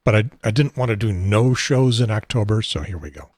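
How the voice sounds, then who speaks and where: Host, male, 70, American. Husky, somewhat low voice, dry close sound, halting unscripted delivery. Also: lively intonation, dry recording. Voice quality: husky, unsteady voice. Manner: rambling, halting, wry. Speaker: talk show host. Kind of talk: casual unscripted solo talk show.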